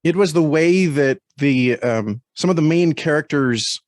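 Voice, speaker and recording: A man's voice: fairly high pitch, a little slow, no room sound, clean studio sound. A man speaking as a podcast guest over a video call, casually and hesitantly, choosing his words with care. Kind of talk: casual discussion of storytelling.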